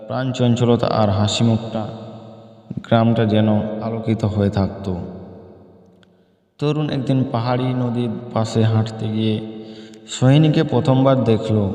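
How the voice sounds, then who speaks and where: A man's voice: medium pitch, warm tone. A man narrating a scripted story as a storyteller, in an echoey recording with long reverb.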